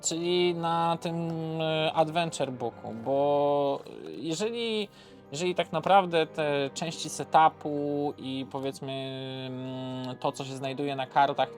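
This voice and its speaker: A man's voice: fairly high pitch, fairly even intonation, slow pace, faint music behind. A man with a Polish accent giving a casual, unscripted board game review, hesitant and rambling as he corrects himself.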